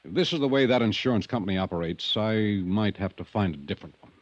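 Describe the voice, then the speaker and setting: Stern ranch boss, American, irritable, combative, radio drama, dry dull old recording. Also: male voice, on the low side, lively intonation, fast talker, no echo. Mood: indignant, defensive. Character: gruff, defensive, hot-tempered ranch owner and stern father. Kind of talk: 1950s radio drama acting.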